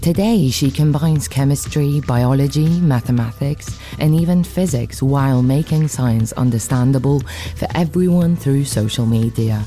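Very low, dark-voiced woman reporter. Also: soft background music. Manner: measured, storytelling delivery. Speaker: radio reporter. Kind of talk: scripted feature narration.

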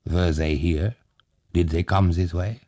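A man's voice: sits low, fairly even intonation, measured pace, mellow, no echo, clean studio sound. Man doing a clipped, stern cavalry officer. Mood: grave. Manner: clipped, broken phrasing.

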